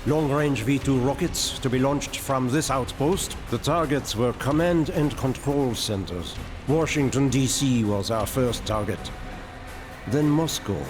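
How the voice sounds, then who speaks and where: An actor playing a weapons scientist, explaining wartime plans in a measured, matter-of-fact way in a video game. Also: male voice, medium pitch, lightly acted. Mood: cold, proud. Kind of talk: scripted game dialogue, explanatory. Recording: loud music under the voice.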